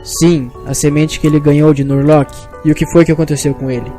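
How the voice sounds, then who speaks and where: A man's voice: fairly high pitch, little pitch movement, brisk, over music, close to an everyday voice. A man with a Brazilian accent playing a curious listener who questions an old storyteller in an audio fantasy tale. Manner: inquisitive.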